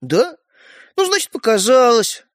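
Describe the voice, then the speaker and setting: A man's voice: high-pitched, sing-song, normal speed, fairly bright tone, dry recording, clean studio sound, voice pushed up, full character voice. Man voicing a brash, cheeky little boy. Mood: sly. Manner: brash, exclaiming. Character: pushy, excitable, cheeky young brother.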